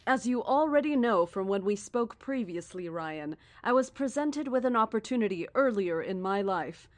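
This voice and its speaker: A woman playing a serene but menacing female cult leader in a horror audio drama, speaking in a deliberate, formal, condescending and grandiose way. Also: medium pitch, darkish tone, no echo, close to an everyday voice. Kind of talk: horror audio drama acting, live radio address.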